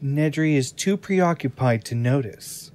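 A man's voice: medium pitch, animated, unhurried, a little mellow. A man narrating an audio drama from a script, describing the action.

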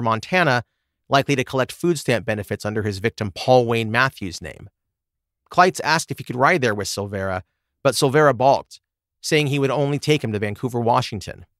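A man's voice: medium pitch, fairly bright tone, no room sound, clean studio sound. A man hosting a true crime podcast, reading a scripted narration. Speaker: podcast host.

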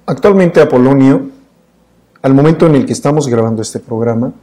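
A man's voice: mid-range voice, fairly quick, dark tone. A middle-aged Mexican man hosting a studio interview, asking questions in long, stacked clauses. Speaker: interview host. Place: dark indoor studio.

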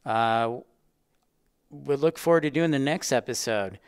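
Clear-voiced man chatting on a livestream. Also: medium pitch, a little slow, no echo, studio quality. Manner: chatty, rambling, addressing viewers by name. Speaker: livestream host, aviation educator. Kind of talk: casual livestream chat with viewers.

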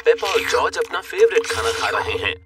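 Friendly storyteller, children's cartoon narration, animated intonation, medium pitch. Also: male voice, brisk, distant mic, faint music behind.

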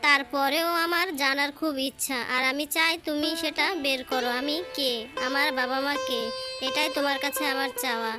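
Woman voicing an earnest, very high-pitched fairy girl. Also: steady tone, moderate pace, natural voice. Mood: insistent, troubled. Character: earnest, insistent young adopted fairy daughter. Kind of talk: cartoon voice acting. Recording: loud music under the voice.

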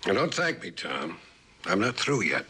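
Faint noise sits under a stern American general, an older man with a forceful, bright voice. He is blunt and admonishing, swinging his pitch for emphasis in classic mid-century TV drama style. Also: very animated, exaggerated voice. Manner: commanding, deliberate, admonishing. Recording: old television soundtrack with faint hiss.

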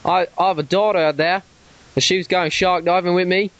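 A man's voice: high-pitched, fairly even intonation, brisk, fairly bright tone, no room sound, highs cut off. Stammering Australian man on the phone. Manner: rambling, stammering, swearing when riled up. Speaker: prank caller. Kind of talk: prank phone call. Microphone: telephone.

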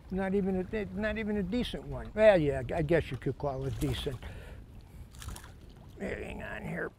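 Older man, fishing show host, slightly high voice, folksy, emphatic, casual commentary, outdoors on a boat. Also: darkish tone, a little hiss or hum.

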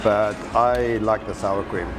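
A man's voice: lowish voice, fairly even intonation, slow pace, very noisy. Eager man reviewing food. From South Africa. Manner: enthusiastic, talks to camera while eating. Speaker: restaurant reviewer. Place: indoor restaurant by a busy street.

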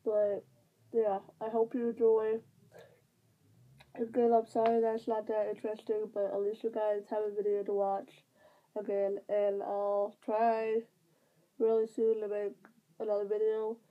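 A young woman with a stuffy nose, rambling casually through a vlog update while lying on her bed. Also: mid-range voice. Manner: rambling with many fillers and restarts. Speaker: vlogger. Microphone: device camera at close range. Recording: tinny phone-like sound.

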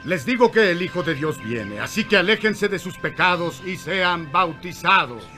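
A man playing a fervent desert prophet in an animated Bible film, declaiming commands like a preacher. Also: sits high, moderate pace, music in the background. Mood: fervent, commanding.

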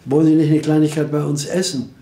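A man's voice: fairly high pitch, level tone, fast, warm tone, echoey room, close to an everyday voice. Old man voicing a haughty army colonel. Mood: condescending. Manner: condescending, from above.

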